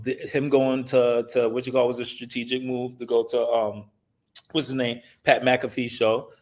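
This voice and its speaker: A man talks sports as a panelist. His voice sits at an average pitch with little melody. He speaks casually and haltingly, pausing to find his words. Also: a little mellow. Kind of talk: casual sports opinion talk.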